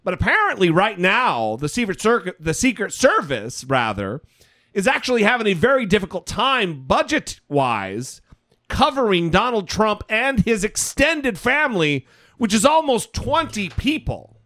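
A man's voice: sits high, sing-song, unhurried, sharp tone, no room sound, studio quality. A man co-hosting a podcast, commenting casually in a blunt, irreverent, sweary manner. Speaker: podcast co-host.

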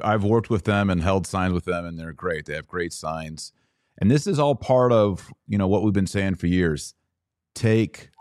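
A man's voice: sits low, a little mellow, no echo, studio quality. A man hosting a podcast, giving conversational religious commentary in short, emphatic phrases. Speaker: podcast host.

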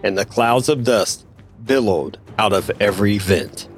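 A man's voice: fairly low pitch, a little slow, faint music behind. A man reading scripted dramatic fiction as a story narrator.

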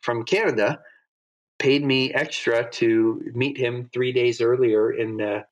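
A man's voice: medium pitch, steady tone, slow pace, low-quality recording. Rambling, upbeat man telling stories. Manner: rambling, upbeat storyteller with many fillers. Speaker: podcast guest, knife designer. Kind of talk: casual podcast chat.

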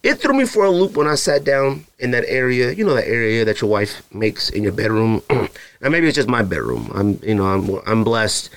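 Married guy hosting his podcast, mid pitch, joking around, talks right at the listener, dry sound. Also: moderate pace, no echo. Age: adult, married. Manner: animated, joking, direct address to listeners. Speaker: podcast host. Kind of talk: casual solo podcast chat with ad read.

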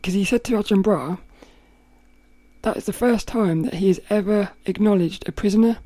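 A woman with a rough, cracking voice, hosting a podcast and thinking aloud in a rambling way, often laughing. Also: mid-range voice, fairly quick, dark tone, no room sound. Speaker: podcast host. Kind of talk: casual reflective monologue.

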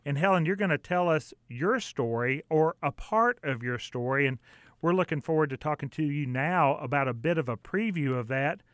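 A man works as a radio host in an interview setting. His voice sits a little high for a man, and the sound is dry and close. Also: normal speed, a bit bright, no echo.

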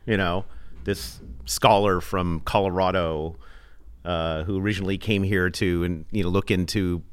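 A man's voice: sits low, fairly even intonation, unhurried, clear, resonant tone. A man hosting a podcast, asking a guest probing questions and restarting them as he goes. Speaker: podcast host. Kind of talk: interview questions.

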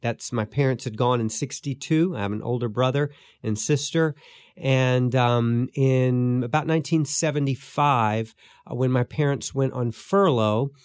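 A man in his fifties, a pastor and podcast co-host, talking casually about his book with many pauses, fillers and restarts. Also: mid-range voice, a little mellow, dry recording, clean studio sound. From born in Guyana, raised in Belize. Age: 50s. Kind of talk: casual interview chat.

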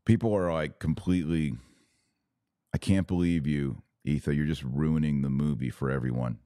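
A man with a slightly raspy voice, worn from hours of talking, hosting a casual religious commentary podcast in short, emphatic phrases. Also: rumbling low, moderate pace, mellow, dry recording, studio quality. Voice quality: a little raspy. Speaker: podcast host.

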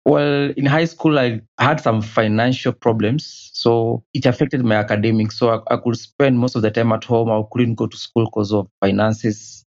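A man's voice: medium pitch, steady tone, moderate pace, few pauses, a little mellow. Hesitant man, slightly muffled. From Kenya. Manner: hesitant, repeats words while recalling. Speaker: guest. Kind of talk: interview answers.

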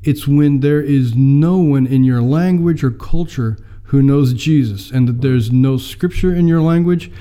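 An American man in his fifties, telling how he came to mission work in a measured, filler-laden interview. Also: medium pitch, mellow, clear, resonant tone. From United States. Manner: measured storytelling with many fillers. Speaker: mission mobilizer, guest. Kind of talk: personal testimony in an interview.

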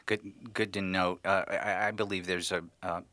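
Low, bright-toned male co-host. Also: little pitch movement, dry recording. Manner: halting, with many restarts. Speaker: radio co-host. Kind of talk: radio talk show. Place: radio studio.

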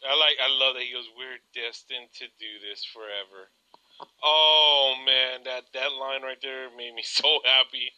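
A man's voice: medium pitch, steady tone, a little slow, pushed and bright, dull audio. A man co-hosting a podcast in casual, enthusiastic talk about film. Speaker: podcast co-host.

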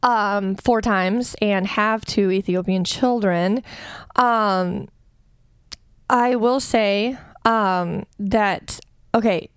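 A woman's voice: medium pitch, very slow, clear, resonant tone, no echo, clean studio sound. Woman, thoughtful podcast talk. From Eastern Iowa, USA. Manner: thoughtful, hedging, many ums. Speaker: podcast co-host. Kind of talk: casual conversational podcast.

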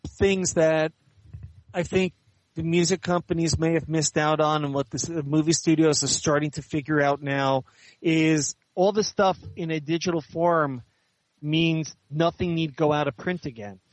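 A man giving measured, articulate, explanatory answers as an author and editor being interviewed in conversation. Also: a little high, fairly even intonation, no room sound, rough recording.

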